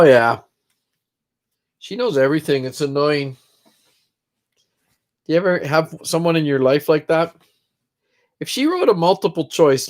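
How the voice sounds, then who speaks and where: A middle-aged man with a stuffed-up, congested voice talks loosely to his live-stream viewers from his room. He rambles from one thought to the next and greets people by name as he goes. His pitch sits in an ordinary middle range. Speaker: livestream host. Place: his own room at home.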